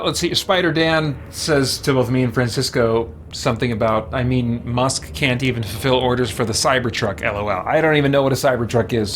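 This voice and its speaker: A man doing casual, halting game commentary, thinking aloud as he roleplays for a stream. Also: mid-range voice, a little hiss or hum. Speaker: gaming streamer.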